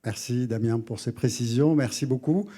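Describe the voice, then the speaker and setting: A man's voice: medium pitch, moderate pace, warm tone. A man moderating a round table off the cuff, searching for his words as he wraps up. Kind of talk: unscripted moderating talk.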